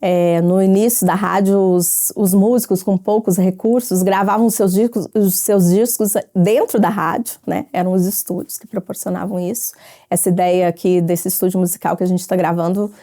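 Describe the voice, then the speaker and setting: A woman's voice: medium pitch, steady tone, normal speed, mellow. A woman with a Brazilian accent, explaining casually in a studio. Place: music studio at a radio station.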